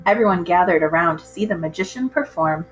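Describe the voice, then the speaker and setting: A woman reading a picture book aloud to children in an expressive, measured storytelling style. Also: fairly low pitch, soft background music, rough recording.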